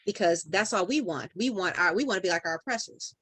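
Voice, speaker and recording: A woman's voice: mid-range voice, animated, fast, a bit bright, slight background noise. A woman speaking as a podcast guest in conversation, emphatic and building her points through repeated phrases.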